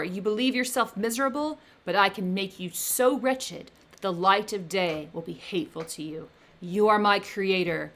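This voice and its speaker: Furious young man, unbending scientist, loud emphatic delivery, read by a woman. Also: mid-range voice.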